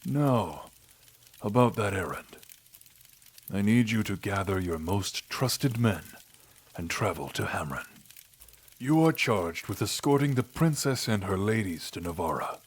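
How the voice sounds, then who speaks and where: A man voicing an aging, weary, solemn king in an audio drama, husky and breathy, dropping to a half-whisper as phrases end. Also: on the low side, expressive, heavily acted. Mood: commanding, warning. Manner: slow, grave, deliberate. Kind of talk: audio drama character acting.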